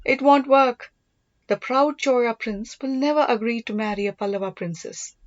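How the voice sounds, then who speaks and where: A woman's voice: fairly high pitch, normal speed, no echo, dull audio, pitched above the performer's own voice, natural voice. A woman voicing a young, spirited princess and sister in an audiobook, questioning and exclaiming. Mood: doubting, surprised. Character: gentle young Pallava princess, glum yet spirited sister.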